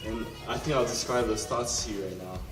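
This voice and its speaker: A young man joking in a deadpan, mock-serious way in a student banquet video. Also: lowish voice, brisk. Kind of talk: joking testimonial. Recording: roomy sound, distant mic, music underneath.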